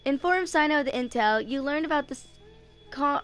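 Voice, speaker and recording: A woman's voice: sits high, brisk, a bit bright. A woman in her twenties casually commentating on a video game and reading on-screen text aloud. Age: 20s. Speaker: streamer playing a video game. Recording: game music behind the voice. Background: game music.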